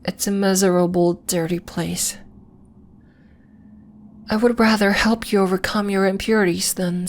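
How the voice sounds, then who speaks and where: Slow, soothing celestial moon healer voiced by a woman. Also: mid-range voice, slight background noise, natural voice. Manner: soothing, measured, formal, faintly condescending. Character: gentle, lofty female lunarian healer, celestial moon being. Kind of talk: ASMR roleplay, scripted, addressed to the listener.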